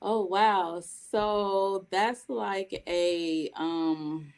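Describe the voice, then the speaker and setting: A woman's voice: medium pitch, steady tone, very slow, a little mellow, smooth. A young adult woman from Mississippi, raised in North Carolina, chatting as a guest and telling long stories with frequent laughs. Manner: chatty, laughs often, long storytelling answers. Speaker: guest, spoken word poet. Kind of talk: casual interview chat.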